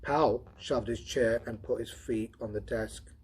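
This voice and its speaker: A man's voice: mid-range voice, fairly even intonation, measured pace, slightly rough. A man reading scripted fiction aloud as a storyteller. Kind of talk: scripted audiobook narration.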